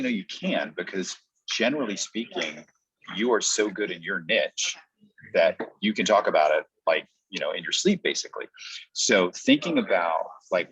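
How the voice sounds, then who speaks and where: A man giving a conversational training talk as a coach, explaining things step by step with example lines. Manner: explanatory, acts out example pitches in quotes. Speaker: podcast coach. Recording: dull, muffled lo-fi audio.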